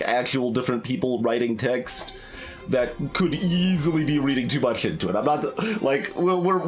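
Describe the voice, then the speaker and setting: A man's voice: mid-range voice, poor audio. A man giving casual playthrough commentary, thinking aloud in a hesitant, rambling, analytical way. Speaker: game streamer. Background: background game music.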